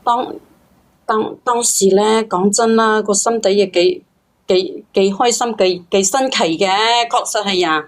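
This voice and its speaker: A woman's voice: medium pitch, fairly even intonation, unhurried. A woman calling in to confide, speaking hesitantly with stammers and justifying herself. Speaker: caller confiding in host. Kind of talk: confessional phone-in chat.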